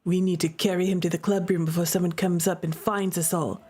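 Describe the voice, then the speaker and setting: A woman voicing a calm young man who speaks in a firm, measured way in a game read-through. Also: fairly low pitch, husky, voice pushed down.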